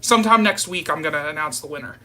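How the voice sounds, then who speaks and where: A man's voice: on the high side, fast talker, sharp tone. A man, chatting casually and informally as he hosts a live stream and answers viewer comments. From southern United States. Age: early 30s. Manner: informal, rambling, addressing viewers as "you guys".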